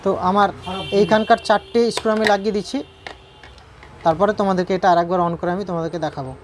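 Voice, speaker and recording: Very fast-talking woman, noisy recording. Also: mid-range voice. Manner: repetitive, checking in with viewers with tag questions. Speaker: repair tutorial presenter. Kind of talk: informal hands-on repair tutorial narration.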